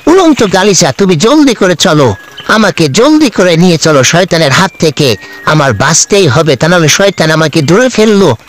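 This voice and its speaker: Man voicing an excitable, high-pitched cartoon girl adventurer. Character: excitable girl adventurer flying on a magic carpet. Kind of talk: improvised cartoon character narration.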